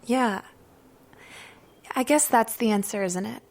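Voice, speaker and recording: A woman's voice: a little high, slow pace, a little mellow. A young woman playing an earnest, self-doubting drama student in an audio drama.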